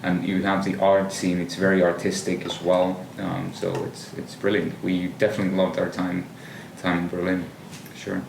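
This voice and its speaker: A young adult man from Finland, chatting casually as a podcast guest, relaxed and thoughtful with many fillers and restarts. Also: low-pitched, normal speed, vocal fry, hardly any pauses. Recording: roomy, distant mic, faint noise.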